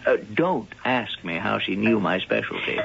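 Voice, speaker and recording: An actor playing a suave, secretive male professor who studies cats, smooth and evasive in 1970s radio acting. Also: lowish voice, animated, normal speed, a bit bright, heavily acted. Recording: lo-fi old radio broadcast with hiss.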